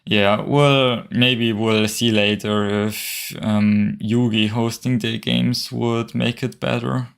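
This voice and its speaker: Slow-talking Austrian man. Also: medium pitch, creaky, smooth. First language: German. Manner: relaxed, chuckling, hesitant with fillers. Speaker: tournament commentator. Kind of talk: casual game commentary.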